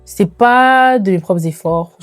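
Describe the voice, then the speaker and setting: A woman in her twenties chatting casually to viewers as a lifestyle vlogger, in an animated, informal way with slangy phrasing and bits of English mixed in. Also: medium pitch, takes it slowly, no room sound. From immigrated to Canada. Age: 20s. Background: background music.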